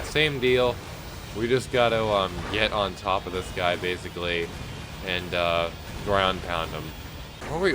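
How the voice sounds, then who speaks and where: A congested man with a mild cold giving rambling, casual commentary while he plays a video game. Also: on the low side. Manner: rambling, relaxed, lots of fillers. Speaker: gameplay commentator. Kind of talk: casual let's-play commentary. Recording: loud game music behind voice. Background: game music.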